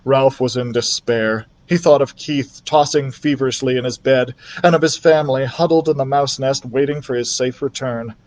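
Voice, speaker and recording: A man's voice: medium pitch, fairly even intonation, normal speed, few pauses, a little mellow. A man narrating a children's storybook expressively, as a library storyteller reading aloud.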